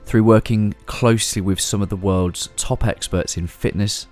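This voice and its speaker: A man with a deep, low voice hosting a podcast. He talks in an encouraging, easygoing way and slips in soft murmurs of agreement. Faint music plays under him. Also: steady tone, normal speed. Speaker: podcast host. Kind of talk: scripted intro, then casual interview.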